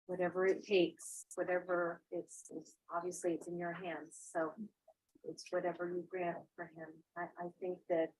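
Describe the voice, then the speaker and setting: A middle-aged American woman giving halting, hesitant testimony as a witness in a courtroom. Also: lowish voice, slightly rough, rough recording. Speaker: witness, defendant's wife.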